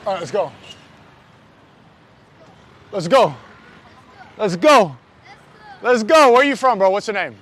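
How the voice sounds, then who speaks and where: A man's voice: high voice, big pitch swings, a little slow, long pauses, fairly bright tone, slight background noise. A man from New York livestreaming on city streets at night, chatting loosely with his viewers and with strangers he meets. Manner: loose, slangy, talks to chat and strangers. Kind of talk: casual street livestream chat.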